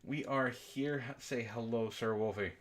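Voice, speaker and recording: A man's voice: mid-range voice, normal speed. A man hosting a book club livestream in casual chat, jokey and playfully theatrical when he announces things.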